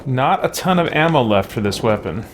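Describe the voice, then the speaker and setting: A man hosting a gaming let's play with casual live commentary and animated, theatrical reactions. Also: mid-range voice, moderate pace, noisy background.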